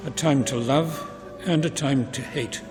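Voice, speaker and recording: An older man giving a solemn, measured scripture reading at a funeral. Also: medium pitch. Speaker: funeral reader. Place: inside a church at a funeral service. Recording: reverberant church, music in background.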